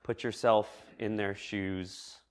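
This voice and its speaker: Male preacher, lower register, reflective sermon delivery, measured and thoughtful. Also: little pitch movement, clean tone. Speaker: preacher giving a church message. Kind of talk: reflective sermon addressed to a congregation.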